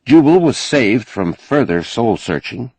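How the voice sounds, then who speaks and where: A man reading fiction as an expressive audiobook narrator. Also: medium pitch, unhurried, breathy edge, no room sound.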